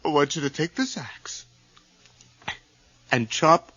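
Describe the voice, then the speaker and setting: An actor playing a desperate, terrified man possessed by his dead brother, anguished, halting and pleading in a horror radio drama. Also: fairly high pitch, sing-song, sharp tone, slightly rough, no room sound, muffled recording, exaggerated voice.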